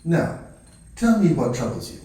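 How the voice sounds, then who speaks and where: A man playing a calm, priestly counsellor with a hint of menace in a fantasy audio drama, speaking in a measured, formal way. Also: fairly high pitch. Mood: kindly, solemn. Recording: cavernous echo, distant from mic.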